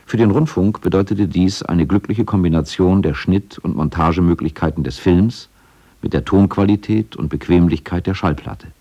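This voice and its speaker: A male documentary narrator reads a scripted radio feature in a low, warm voice. His is measured and factual, with a relaxed, dark timbre. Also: muffled recording.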